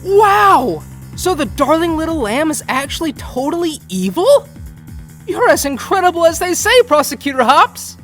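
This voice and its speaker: A woman voicing a naive, bubbly male cheetah judge in an animated courtroom story, gushing and childlike. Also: extremely high pitch, moderate pace. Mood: awestruck. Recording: music behind the voice.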